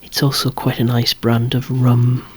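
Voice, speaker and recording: A softly whispering collector presenting a toy collection ASMR-style, in a rambling, chatty way with lightly wry asides. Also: mid-range voice, completely flat delivery, unhurried. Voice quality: breathy, hushed whisper.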